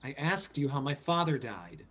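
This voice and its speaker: A man playing an earnest, anxious but insistent son in a radio play, with a husky, hesitant delivery. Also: medium pitch, very animated, moderate pace, a bit bright. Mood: hurt, insistent. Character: earnest, anxious, insistent child of a dead father.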